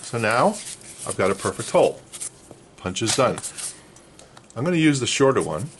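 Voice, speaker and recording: A man's voice: mid-range voice, very animated, moderate pace, background noise. A man talking casually as he hosts a hands-on how-to, explaining things step by step. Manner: explains step by step, checking with "okay". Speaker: host of a vintage radio restoration how-to. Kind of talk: casual hands-on tutorial talk.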